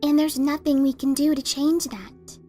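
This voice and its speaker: Woman voicing an earnest, high-voiced pegasus mailmare. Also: fairly even intonation, moderate pace, mellow, faint music behind, natural voice. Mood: apologetic. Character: earnest, sheepish pegasus mailmare, younger sister. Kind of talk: dramatic reading of fan fiction.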